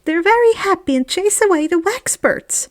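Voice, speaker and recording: A woman voicing a dreamy, gentle, happy young girl from a fantasy story, with a whimsical and delighted manner. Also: very high-pitched, animated, moderate pace, pitched above the performer's own voice.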